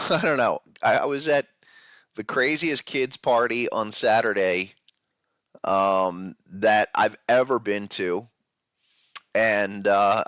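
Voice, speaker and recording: Man, head cold, deeper than usual, low voice, casual live-stream talk, dry close sound. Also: a bit bright, no echo. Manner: casual, loose, with fillers and restarts. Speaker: podcast host. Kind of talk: live-stream market analysis chat.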